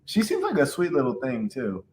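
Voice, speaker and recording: A man's voice: medium pitch, huge pitch swings, normal speed, darkish tone, reverberant, a little hiss or hum. A man hosting a casual reaction chat, talking bluntly and teasing his co-host. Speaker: podcast host.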